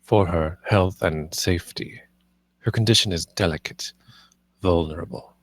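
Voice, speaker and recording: A man's voice: sits low, unhurried, a little hiss or hum. A man playing a stern, controlling master of a household in a dramatic script read, speaking in clipped, formal commands. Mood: coldly instructing.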